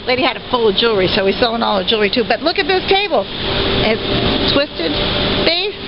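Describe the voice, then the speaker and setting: A high-pitched woman chats enthusiastically as she walks a camera through a big antique warehouse, exclaiming over the pieces. The sound is dull and lo-fi. A loud air-conditioning hum runs underneath her voice. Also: expressive, fairly quick, creaky. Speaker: auction house staff giving a preview tour. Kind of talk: casual walkthrough narration. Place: large indoor antique auction warehouse. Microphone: handheld camera mic, speaker behind camera.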